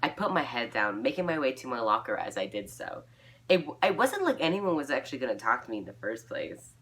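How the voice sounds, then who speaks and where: A woman's voice: rumbling low, big pitch swings, fairly quick, a bit bright. A woman reading her old fan fiction aloud, giggly and self-mocking, dropping into casual asides.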